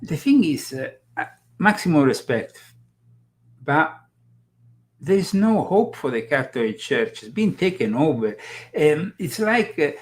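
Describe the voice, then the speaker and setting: A man's voice: fairly high pitch, sing-song, a little slow. A man hosting a live commentary show, talking in a rambling way with many fillers and restarts and bursts of emphasis. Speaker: host.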